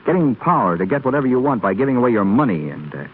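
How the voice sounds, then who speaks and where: An actor playing an earnest, anguished doctor who speaks haltingly in a 1930s radio drama. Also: male voice, medium pitch, very animated, slightly rough. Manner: halting, stammering when troubled. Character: earnest, anguished male doctor longing for lost love. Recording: scratchy, muffled 1930s radio broadcast.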